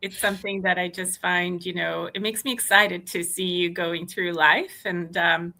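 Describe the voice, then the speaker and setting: A woman's voice: on the low side, fairly even intonation, moderate pace, bright, forceful tone, rough recording. A woman hosting an interview in a conversational, supportive and encouraging way. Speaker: podcast interviewer.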